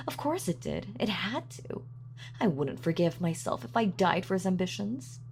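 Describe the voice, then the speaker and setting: A woman's voice: on the low side, creaky, a little hiss or hum, heavily acted. A woman playing a sadistic, gloating female supervillain in a scripted roleplay audio, taunting and savoring her words theatrically. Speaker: voice actor.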